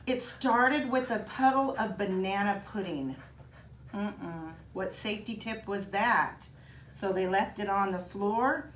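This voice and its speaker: A woman reading a children's picture book aloud in an expressive, child-directed way, with chatty asides to young listeners. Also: mid-range voice, takes it slowly. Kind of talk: animated storybook read-aloud for children with casual asides. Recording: roomy echo, some background noise.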